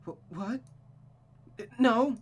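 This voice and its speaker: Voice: medium pitch, slow pace, slightly rough, voice pushed up. A performer voicing a frightened young daughter who stammers and pleads in a panicked way in a visual novel. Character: frightened, pleading young daughter under her mother's sway.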